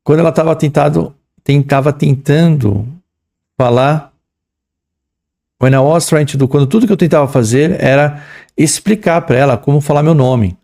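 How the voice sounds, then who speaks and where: A young man with a São Paulo accent talks students through an English exam in a loose, slangy way. His voice is gravelly and mellow. He reads English phrases aloud, then translates and jokes about them. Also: on the high side, normal speed. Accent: São Paulo Portuguese. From São Paulo, Brazil. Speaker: English teacher. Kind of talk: exam-prep lesson.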